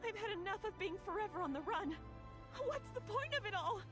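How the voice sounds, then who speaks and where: A woman's voice: extremely high pitch, expressive, a little fast, music in the background. An actress playing a weary, despairing fugitive woman in a video game, bitter and worn down. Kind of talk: dramatic video game voice acting.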